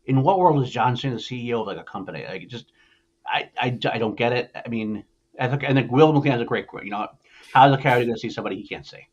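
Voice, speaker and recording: A man's voice: mid-range voice, fast talker, lo-fi sound. A man hosting a casual, sweary movie podcast, speaking emphatically and opinionatedly and repeating himself for emphasis. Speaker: podcast host. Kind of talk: casual, profane movie news chat.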